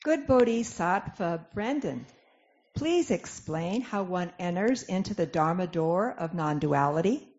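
A woman's voice: on the low side, animated, measured pace, a little mellow. A woman narrator giving formal scripted narration and announcements for a dramatic reading. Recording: dull lo-fi sound, clear background noise.

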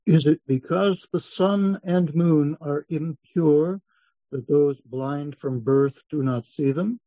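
A man playing the Buddha, a calm, authoritative teacher, in a dramatic reading of scripture. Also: on the high side, slow pace, dry recording. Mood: serene teaching. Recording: dull, lo-fi sound.

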